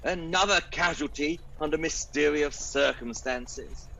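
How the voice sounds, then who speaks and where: An actor playing a proper, pompous British gentleman in a comic radio play, with theatrical delivery and a British accent. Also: on the high side, a little slow, vocal fry, slight background noise, exaggerated voice, low-quality recording. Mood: grave, dry. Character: indignant, proper British gent, detective's companion.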